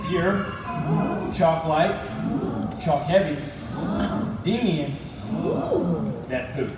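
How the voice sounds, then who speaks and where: A man playing a clueless, laid-back recruit in a comic stage piece, talking in a naive, deadpan way. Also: a little high, very slow, loud music behind the voice. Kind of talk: comic stage theatre acting. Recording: distant, very echoey hall, loud noise.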